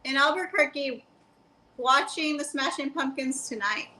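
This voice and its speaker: A woman in her late thirties chats eagerly as a podcast guest, peppering her talk with "like." Her voice is high and bright. Faint background noise hums behind her. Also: a little slow, rough recording. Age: 30s. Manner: enthusiastic, says "like" a lot. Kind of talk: casual group chat.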